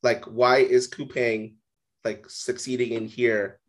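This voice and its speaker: A man's voice: medium pitch, little pitch movement, takes it slowly. Casual man saying "like" a lot. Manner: casual, heavy use of "like". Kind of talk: casual business discussion.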